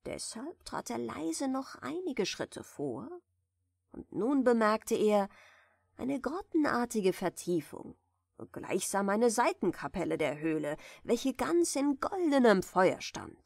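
Gentle, slow-reading woman storyteller. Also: fairly high pitch, very animated, gravelly, no room sound, studio quality. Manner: gentle, soothing, drifting toward a whisper. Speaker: audiobook reader, storyteller. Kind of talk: soft bedtime fairy-tale narration.

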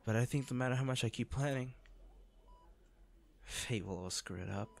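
A man playing an injured, devoted boyfriend in an intimate audio roleplay, speaking tenderly, earnestly and haltingly. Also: mid-range voice, vocal fry, lightly acted. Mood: wistful, tender. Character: injured, devoted boyfriend proposing from a hospital bed.

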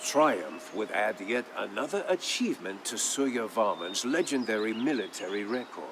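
A man narrating a story in a grave, grandiose and dramatic scripted style, phrasing each line deliberately. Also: fairly low pitch, lively intonation, noisy background. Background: music.

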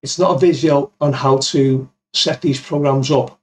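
Man in his fifties, medium pitch, plain-spoken, step-by-step tutorial delivery, close studio mic. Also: steady tone, moderate pace, darkish tone. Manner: step-by-step, plain-spoken, addresses viewers as guys. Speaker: amateur radio video presenter. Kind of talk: informal software tutorial with demonstration. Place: small cluttered home office. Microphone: boom-arm studio mic with pop filter, close.

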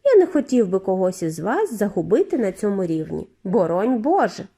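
A woman voicing a giddy, eccentric factory owner in a storybook reading, with a high, clear, flute-like voice and effusive, drawn-out greetings. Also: very animated, dark tone. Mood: gushing. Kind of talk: audiobook character voice.

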